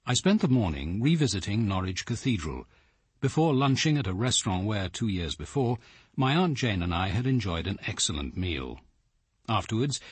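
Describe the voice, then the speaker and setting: An actor playing a cultured, courteous British gentleman in a radio drama, speaking in a measured, polite way. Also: fairly low pitch, breathy edge, no echo. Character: cultured, courteous gentleman, reflective diary narrator. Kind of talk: radio drama narration and dialogue.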